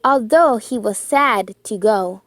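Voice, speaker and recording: A woman reading a children's storybook aloud with expressive, deliberate phrasing and dramatic emphasis. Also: on the high side, dry recording.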